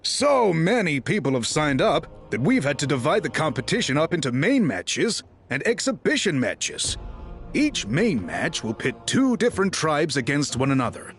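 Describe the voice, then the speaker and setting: A man's voice: a little high, big pitch swings, moderate pace, fairly bright tone. An actor voicing an earnest, conscientious male organizer in a video game, explaining in an orderly, polite way. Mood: earnest, businesslike. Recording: background music under dialogue.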